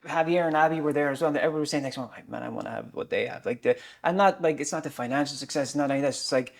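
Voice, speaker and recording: Fast-talking casual male podcast host. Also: on the high side. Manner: casual and chatty, full of "like". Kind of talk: podcast conversation.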